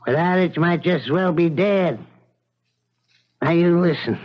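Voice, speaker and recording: An actor playing a scheming, ruthless blackmailer with a bullying, sneering manner in a 1970s radio mystery. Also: male voice, on the high side, measured pace, lightly acted. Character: scheming, ruthless man, a blackmailing crooked buyer. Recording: dull 1970s radio broadcast.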